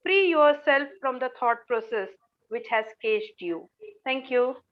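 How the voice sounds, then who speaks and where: Woman, high voice, poetry event co-host, muffled lo-fi call audio. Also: lively intonation, measured pace. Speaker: poet and co-host. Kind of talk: informal hosting and poetry recitation. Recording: dull online call audio.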